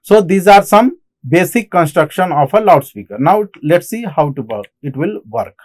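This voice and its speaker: Male lecturer, Indian accent, high-pitched, halting phrasing, repetitive explanations, classroom teaching style. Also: lively intonation, a little mellow. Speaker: engineering lecturer. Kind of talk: classroom lecture on technical material.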